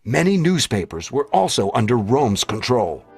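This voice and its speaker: Male documentary narrator, formal and declarative, lively pitch movement, music underneath. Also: normal speed. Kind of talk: scripted narration. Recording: music bed behind narration. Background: background music.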